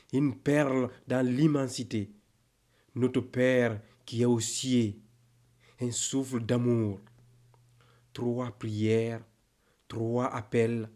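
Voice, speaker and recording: A man with a medium-pitched voice recites poetry at an extremely slow pace. His delivery is solemn and declamatory, setting each short phrase apart with a deliberate pause, in the measured style of a spiritual reading. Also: mellow. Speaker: poem narrator.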